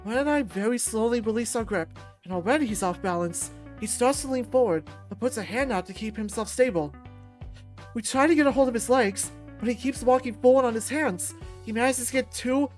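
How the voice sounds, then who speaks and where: A woman's voice: a little high, a little mellow. A woman reading a visual novel aloud as a narrator.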